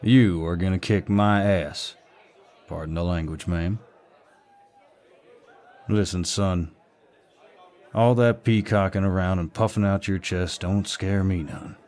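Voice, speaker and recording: A man playing a protective, dominant Southern gentleman in an audio roleplay, speaking politely but firmly with a Southern US drawl. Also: deep voice, fairly even intonation, slow, pauses often, some chatter behind. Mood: stern warning. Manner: polite, drawling, firm, old-fashioned courtesy. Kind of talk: roleplay audio acting, intimate and scripted.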